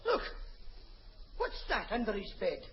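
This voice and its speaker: An actor playing a daft, self-important, bumbling police inspector, earnest and exclaiming, in an old radio comedy. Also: male voice, high-pitched, wildly swooping pitch, a little slow, heavily acted. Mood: excited. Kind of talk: 1950s radio comedy acting. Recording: muffled, noisy old radio recording.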